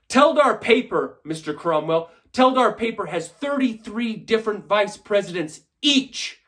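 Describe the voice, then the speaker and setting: A man playing a brash, confident corporate raider in a theatrical film monologue, giving an emphatic speech with deliberate dramatic pauses. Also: on the high side, fairly bright tone.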